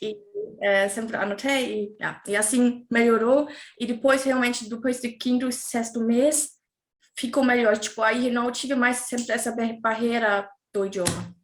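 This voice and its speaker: A woman talks casually as a guest, speaking a language she learned later. Her voice sits a little high, and she often stops to search for words and fills the gaps. The room around her sounds echoey. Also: fairly even intonation, a little slow. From Germany. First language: German. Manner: hesitant, searching for words, many fillers. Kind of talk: casual interview chat.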